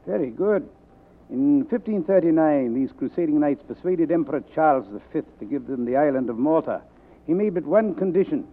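An actor playing a suave, greedy fat crime boss with florid, courteous manners in a 1940s radio drama. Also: male voice, on the high side, lively intonation, normal speed. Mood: genial, grandiose. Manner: florid, formal, courteous address. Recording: tinny vintage 1940s radio recording.

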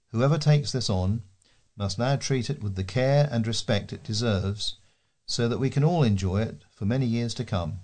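A man with a British accent reads scripted local news as a volunteer newsreader. His voice sits a little lower than most men's. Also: a little mellow.

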